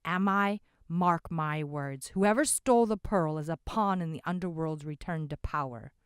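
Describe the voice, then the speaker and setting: A woman voicing a hoarse, doom-telling old man. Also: low voice, normal speed, no echo, studio quality, close to an everyday voice. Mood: ominous. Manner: foreboding, ominous.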